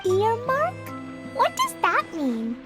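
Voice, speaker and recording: A woman's voice: extremely high pitch, wildly swooping pitch, takes it slowly, loud music behind the voice, heavily acted. An actress voicing a cheerful, earnest little girl mage in a video game, childlike, perky and innocent. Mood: eager, curious. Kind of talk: video game cutscene acting.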